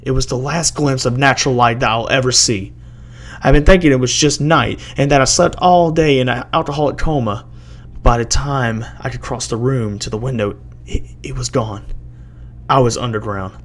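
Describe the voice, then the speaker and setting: A man with a gravelly voice giving a halting, dramatic first-person reading of a horror story as an amateur narrator. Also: mid-range voice. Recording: noisy amateur home recording.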